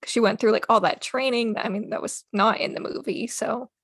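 A woman's voice: a little high, steady tone, normal speed, fairly bright tone, husky, no echo. Casual woman co-host, dry close sound. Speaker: podcast co-host. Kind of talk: casual book discussion chat.

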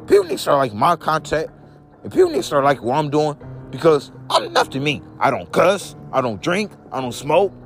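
A young man with a deep voice, talking in a rambling, repetitive vlog rant, whose voice jumps high when he gets upset. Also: wildly swooping pitch, fairly quick, faint music behind. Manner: repeats each phrase, voice leaps high when upset. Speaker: vlogger.

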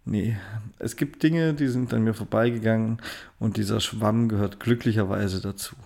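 A man's voice: fairly low pitch, steady tone, moderate pace, vocal fry, darkish tone. A German-speaking man co-hosting a casual gaming podcast, joking and teasing while telling anecdotes. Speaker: podcast co-host.

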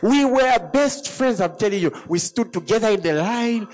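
High-voiced man preaching. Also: normal speed, faint music behind. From Zambia. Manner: animated preaching, laughing often between points. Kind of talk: sermon.

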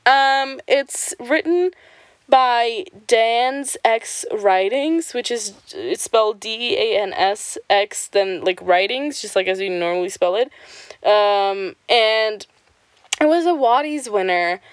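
A woman's voice: a little high, takes it slowly, clean tone, no echo. A young woman hosting a casual podcast while sick and stuffy, talking with a slight lisp in a rambling, profane way. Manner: slight lisp from swollen tongue. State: stuffy, sick with swollen tongue. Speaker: podcast host. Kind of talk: casual solo podcast chat. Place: home at night.

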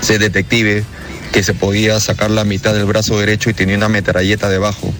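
A man's voice: lowish voice, level tone, fast, a bit bright, soft background music. A man leaving a casual voice message as a listener to a radio show.

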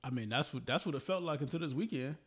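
A man's voice: fairly high pitch, fast talker, slightly rough. Man, thirties, podcast co-host, blunt, teasing, slangy casual talk. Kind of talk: casual chat.

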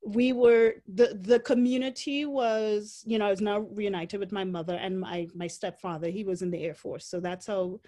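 A woman's voice: mid-range voice, steady tone, moderate pace, few pauses, dark tone. A woman in her forties from Trinidad, with a Trinidadian accent, telling her story as an interview guest, reflective and laughing often between fillers.

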